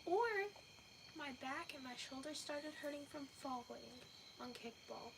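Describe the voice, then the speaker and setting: A woman speaking quietly and flatly from off to the side, correcting someone else's story. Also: sits high, unhurried, background noise. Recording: faint, off-mic outdoors with crickets.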